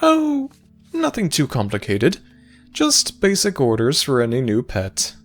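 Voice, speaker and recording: A man playing a playful, teasing god of the afterlife in a fantasy audio roleplay, speaking in a sly, measured, theatrical way. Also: medium pitch, wildly swooping pitch, faint music behind. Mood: teasing, amused. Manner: theatrical, sly, measured storytelling with dramatic pauses.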